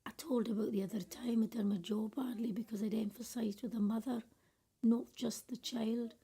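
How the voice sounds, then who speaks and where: A woman's voice: a little high, steady tone, moderate pace, dark tone, slightly rough. A woman playing a judgmental but conscientious care worker, reflecting bitterly in a radio play monologue. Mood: bitter, reflective.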